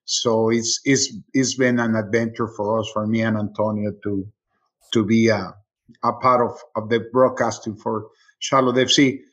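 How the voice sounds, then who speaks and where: A middle-aged man from Mexico City with a Mexican accent, a native Spanish speaker, talking casually as an interview guest in a halting way with repeated words. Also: on the low side, level tone, fairly bright tone, low-quality recording. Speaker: sports radio play-by-play announcer, interview guest.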